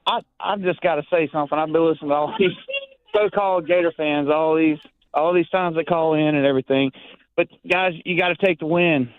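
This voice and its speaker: A man's voice: fairly high pitch, fairly quick, clean tone, no room sound. A man calling in to sports radio, insistent and frustrated with other fans. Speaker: caller. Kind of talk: phone-in sports rant. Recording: dry phone line.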